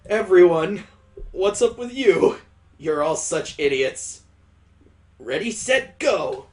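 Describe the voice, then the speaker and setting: A bossy young daughter from a visual novel, as a game streamer puts her on, blunt and teasing. She scolds and orders people around in a mid-pitched voice. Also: very animated, slow pace, a little mellow. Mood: bossy, excited. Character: bossy, teasing birthday girl, mocking her father.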